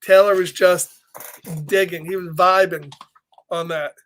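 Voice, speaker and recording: A male storyteller with a high voice and a slow pace. He reads a picture book live to children, exclaiming over it and chatting casually with listeners between lines. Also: steady tone, fairly bright tone. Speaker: children's story reader and host.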